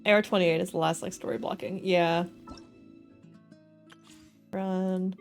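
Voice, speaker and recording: A woman's voice: lowish voice, measured pace, soft background music. A woman chatting casually and expressively while live streaming a game. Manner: giggly, expressive.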